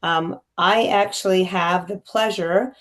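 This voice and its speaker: A woman insurance representative with a raspy voice today, speaking in a friendly, chatty way on a video call. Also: on the low side, steady tone, slow, a bit bright.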